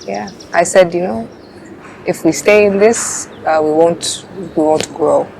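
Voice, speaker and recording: A young woman in her twenties, born in Uganda, answering interview questions in a relaxed way with frequent fillers. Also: fairly low pitch, a little slow, vocal fry, crowd noise. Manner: relaxed, laughs often, hesitant fillers. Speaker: pageant contestant guest. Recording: noisy background.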